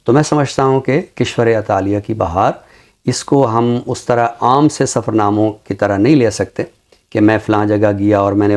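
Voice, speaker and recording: Low-pitched Pakistani man, deliberate literary speaker. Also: darkish tone. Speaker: literary show presenter and book reviewer. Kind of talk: measured literary talk with poetry recitation.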